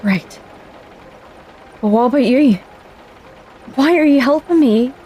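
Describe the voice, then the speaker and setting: Rattled commander lady done by a woman, high voice, talks slow and halting. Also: dark tone, husky, heavily acted. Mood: shaken. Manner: halting, shaken. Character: shaken woman commander, out of her depth.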